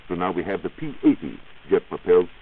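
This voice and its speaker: A hissy, narrow old radio recording of an American man with a very low voice. He explains science as a reporter would on a 1940s program, in measured, formal phrases with lively rises and falls. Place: radio studio.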